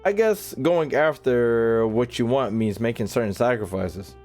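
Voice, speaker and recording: A man's voice: mid-range voice, unhurried, a little mellow. A young man giving casual live commentary on a game as he streams, slangy and jokey. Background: faint game music.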